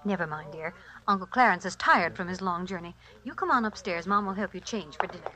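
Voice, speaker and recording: An actress playing an anxious, conciliatory wife and gentle mother in a 1940s radio suspense drama, hesitant and placating. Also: lowish voice, brisk, background noise, natural voice. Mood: gentle, soothing. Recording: 1940s radio broadcast recording.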